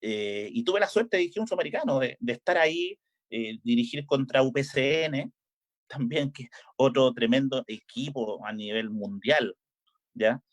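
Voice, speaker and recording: Middle-aged Chilean coach, speaking in a relaxed interview, halting and full of fillers. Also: male voice, medium pitch. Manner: hesitant, many filler "eh"s and restarts. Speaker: volleyball coach.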